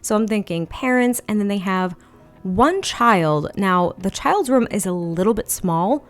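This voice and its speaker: A woman giving a chatty voiceover for a home-building video, slightly hoarse and a little raspy, laughing often between rambling asides. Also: mid-range voice, expressive, a little slow, soft background music. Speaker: Sims build video creator. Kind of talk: casual voiceover commentary.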